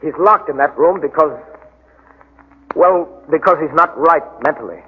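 A man playing a polished, unsettling gentleman in an old radio thriller. His voice sits a little high, courteous and slippery, on a muffled recording. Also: normal speed, a little hiss or hum, natural voice. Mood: smooth, evasive. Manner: courteous, smoothly evasive. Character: suave, sinister male host of a remote ruined house. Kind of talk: 1940s radio acting.

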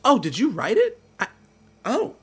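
A man playing a caring, teasing middle-aged uncle in a naturalistic audio drama, speaking in a gentle, wry, reassuring way. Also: very high-pitched, wildly swooping pitch, a little slow, slightly rough, heavily acted. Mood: curious, concerned.

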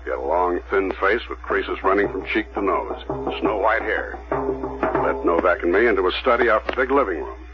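Low, measured American detective. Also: male voice, lively intonation, over loud music. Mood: matter-of-fact. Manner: measured, probing, matter-of-fact. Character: methodical, persistent male police detective narrating his case. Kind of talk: 1970s radio drama narration and dialogue. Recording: muffled old radio recording.

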